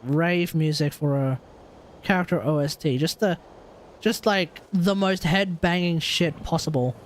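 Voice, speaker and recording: A man's voice: a little high, fairly even intonation, a little slow, a little hiss or hum. A man chatting casually as a game streamer, rambling and searching for words, with some casual swearing. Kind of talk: casual livestream chat.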